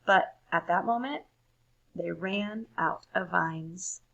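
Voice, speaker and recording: A woman reading a story aloud for children, expressive and deliberate, pausing to ask listeners questions. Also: on the low side, vocal fry, highs cut off. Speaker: storybook reader.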